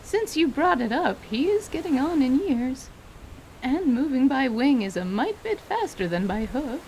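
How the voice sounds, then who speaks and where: An elderly storybook granny in a radio play. Her voice sits high and she takes her time, scolding and teasing with quaint theatrical turns of phrase. There is some faint noise behind her. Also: sing-song, unhurried, darkish tone, exaggerated voice, rough recording. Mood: fond teasing. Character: teasing elderly human grandmother, small and wrinkled, fondly scolding. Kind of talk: dramatized radio theater acting.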